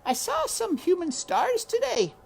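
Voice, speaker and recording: A woman's voice: very high-pitched, wildly swooping pitch, unhurried, gravelly, voice pushed up, full character voice. A woman voicing a hopeful, naive seven-year-old peasant girl with earnest, uneducated childlike speech in a storybook reading. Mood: wonderstruck. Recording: windy outdoor ambience noise.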